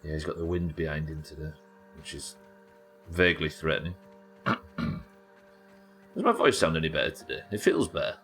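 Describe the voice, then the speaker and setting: A British man with a naturally croaky voice, chatting casually and swearing while streaming a game. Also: rumbling low, lively intonation, moderate pace, long pauses, fairly bright tone, soft background music. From United Kingdom. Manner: chatty, swears, reads chat aloud. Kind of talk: casual gameplay chat.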